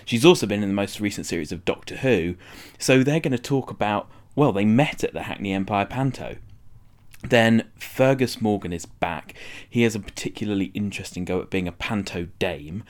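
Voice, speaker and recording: A man's voice: on the low side, normal speed, no echo. A man hosting a casual podcast interview in a chatty way.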